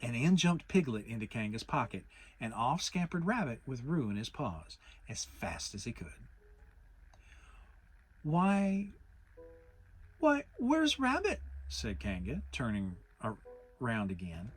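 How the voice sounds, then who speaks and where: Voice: medium pitch, lively intonation, moderate pace, slightly rough, faint music behind, rough recording. A narrator giving a dramatic reading of a children's story. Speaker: audiobook narrator.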